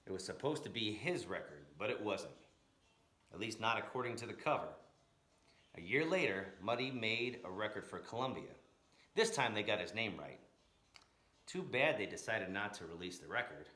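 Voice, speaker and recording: A man reading a story aloud in an expressive, dramatic narrating style with emphatic, rhythmic phrasing. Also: lowish voice, normal speed, long pauses. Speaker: storyteller reading a picture book aloud, blues educator. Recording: roomy, echoing room sound.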